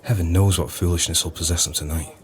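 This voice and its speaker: A very deep-voiced, world-weary publican played by a male actor in an audio drama. He is earnest and philosophical, with solemn, weighty phrasing. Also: steady tone, quick pace, lightly acted. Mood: brooding, earnest. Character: gloomy, earnest, widowed publican with a burdened conscience. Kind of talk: audio play acting.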